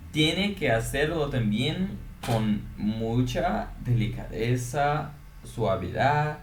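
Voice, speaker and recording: Soft-spoken Brazilian man, roomy sound. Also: medium pitch, a little hiss or hum. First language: Portuguese. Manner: soft-spoken, earnest, quick to praise. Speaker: reaction video host. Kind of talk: casual reaction commentary. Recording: echoey room.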